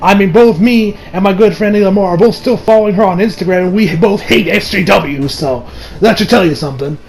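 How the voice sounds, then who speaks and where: A young man with a high-pitched voice gives a casual movie review. He rambles, circling back to restate his points. Music plays clearly behind him. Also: fairly quick. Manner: rambling, repeats and rephrases himself. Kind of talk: casual spoken review over gameplay.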